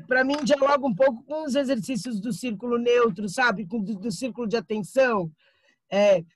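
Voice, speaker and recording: A woman's voice: on the high side, dry recording. Hesitant woman thinking aloud, dry sound. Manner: thoughtful, hesitant, many fillers. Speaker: student in an acting course. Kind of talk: reflective class discussion.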